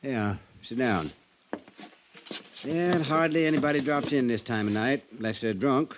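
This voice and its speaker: An actor playing a folksy older small-town constable in a 1950s radio drama, unhurried, dry and laconic. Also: male voice, mid-range voice, close to an everyday voice. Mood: dry, welcoming. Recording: noisy, dull old radio recording.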